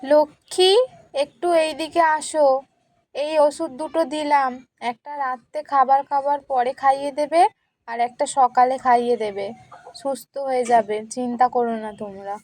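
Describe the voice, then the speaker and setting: High-voiced kindly woman doctor acted by a woman. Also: fairly even intonation, moderate pace, a little mellow, no room sound, lightly acted. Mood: kindly, instructing. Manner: coaxing, reassuring. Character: kindly, reassuring female doctor, an auntie to children. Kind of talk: puppet storybook play-acting.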